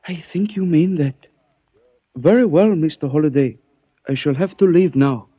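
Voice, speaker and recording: A suave, menacing schemer who trades in proverbs. His tone is formal and soft-spoken, a little higher than most men's. It comes through a dull, dry old radio recording. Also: moderate pace, breathy edge, dry recording. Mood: calm menace. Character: suave, menacing older Chinese man who speaks in proverbs. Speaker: mystery character in a radio drama. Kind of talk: 1940s radio acting.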